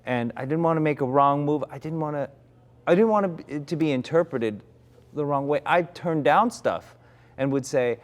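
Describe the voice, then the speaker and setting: A man's voice: fairly high pitch, normal speed. Reflective man with frequent restarts. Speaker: actor, interview guest. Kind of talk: candid conversation.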